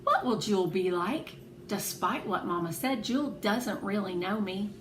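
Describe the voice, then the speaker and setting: A woman reading a children's novel aloud as an expressive, animated narrator. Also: mid-range voice, moderate pace. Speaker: read-aloud host. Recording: echoey room with clear background noise.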